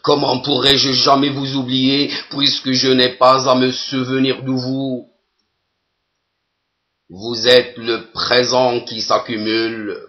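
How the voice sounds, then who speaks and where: A man giving a solemn poetry recitation in a measured, deliberate way, pausing between phrases. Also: medium pitch, monotone, muffled recording.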